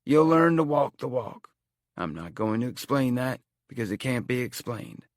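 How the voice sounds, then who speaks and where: A man's voice: medium pitch, big pitch swings, moderate pace, dry recording, studio quality, lightly acted. A man voicing a very old male amusement park owner giving a solemn, sweeping speech. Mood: grand, earnest.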